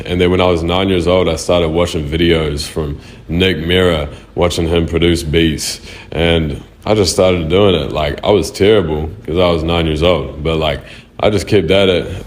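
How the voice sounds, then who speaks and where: Young New male artist, very deep, swears freely, echoey room. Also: fairly even intonation, normal speed. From New Zealand. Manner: loose, slangy and profane. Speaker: music artist. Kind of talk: casual answers to interview questions. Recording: roomy echo.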